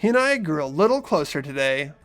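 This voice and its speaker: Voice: medium pitch, sing-song, quick pace, fairly bright tone, faint music behind. A performer voicing a mild, earnest teenage schoolboy who narrates and chats in a fan-voiced visual novel game. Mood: reflective.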